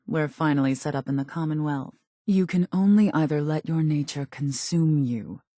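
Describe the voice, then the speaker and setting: A cold, calculating female raider leader for a video game. Her voice is low and mellow with a heavy fry, recorded very dry. She speaks formally and with measured composure, every line carrying quiet menace. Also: no echo. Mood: cool, measured. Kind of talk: video game character voice acting.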